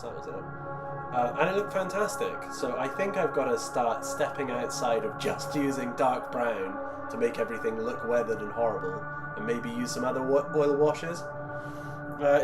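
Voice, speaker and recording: Guy rambling on a hobby stream, voice going a bit hoarse, music playing under him. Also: medium pitch. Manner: rambling, self-deprecating, reads chat aloud. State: losing his voice, coughing. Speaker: streamer building miniatures. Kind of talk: casual live-stream chat.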